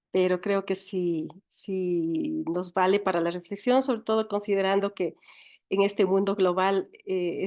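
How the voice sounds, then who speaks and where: A woman's voice: fairly low pitch, a little mellow. A woman from Ecuador with an Ecuadorian accent, speaking conversationally as a guest writer and educator in an interview.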